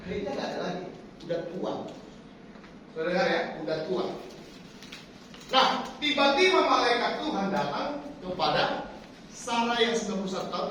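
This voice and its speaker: A man preaching a sermon, animated and constantly addressing the congregation directly. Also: high-pitched. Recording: echoey hall, speaker far from the mic, faint noise.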